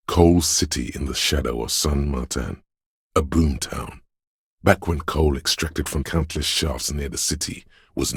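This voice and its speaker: Brooding inner voice of a wintry city, rock-bottom bass, very husky and raspy, solemn, ominous, measured literary game narration. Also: male voice, no echo, clean studio sound, heavily acted. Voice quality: gravelly, husky, very deep bass. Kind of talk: slow literary second-person game narration.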